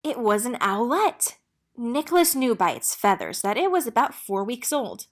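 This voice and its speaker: A woman narrating a children's picture book in an expressive storytelling manner. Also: fairly high pitch, normal speed. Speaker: read-aloud narrator.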